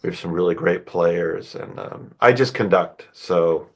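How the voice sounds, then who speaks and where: A middle-aged man from the United States, a composer chatting as a guest in an interview, relaxed and talkative with fillers and restarts. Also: low-pitched, measured pace, creaky. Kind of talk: casual interview chat.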